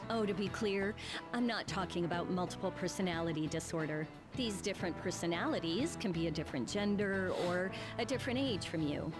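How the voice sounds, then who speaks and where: A woman's voice: lowish voice, expressive, brisk, lightly acted. A woman playing a downbeat school teacher in a video game, casual and matter-of-fact. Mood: musing. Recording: music behind the voice.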